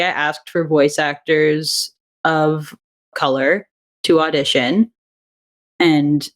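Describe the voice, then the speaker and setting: Chatty woman, thoughtful and rambling. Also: low-pitched. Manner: thoughtful, rambling, with fillers and small laughs. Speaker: audio drama writer and director, guest. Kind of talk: casual interview chat.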